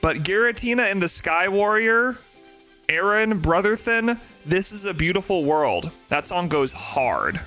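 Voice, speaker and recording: A man with a nasal voice chatting casually on a live stream, rambling and excitable, with faint music behind him. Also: high voice, measured pace. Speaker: shiny-hunting live streamer.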